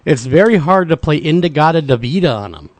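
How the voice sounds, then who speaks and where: Lively, upbeat male show host. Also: a little high, a little fast, no room sound. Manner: upbeat, joking, stammering restarts. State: gravelly voice tonight. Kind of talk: casual interview chat.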